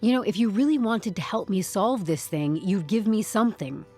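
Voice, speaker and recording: A woman's voice: medium pitch, moderate pace, a little mellow, soft background music, close to an everyday voice. An actress playing a wry, uneasy woman from HR turned amateur sleuth in an audio drama, with an awkwardly breezy manner. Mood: wry, probing. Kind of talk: podcast audio drama acting.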